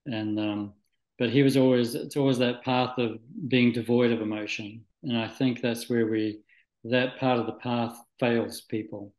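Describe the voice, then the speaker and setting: Male interview guest, from Scotland and New Zealand, medium pitch, thoughtful and halting, recorded in an echoey room. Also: little pitch movement, darkish tone. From Scotland, moved to New Zealand at nine. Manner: halting, thoughtful, searching for words. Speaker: interview guest, spiritual practitioner. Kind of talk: reflective, conversational interview answers.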